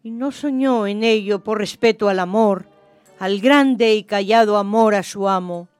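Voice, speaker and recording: A woman reads scripted literary narration for a radio play. The voice is of medium pitch, with faint music running behind it. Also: unhurried, a little mellow, clean tone, no echo.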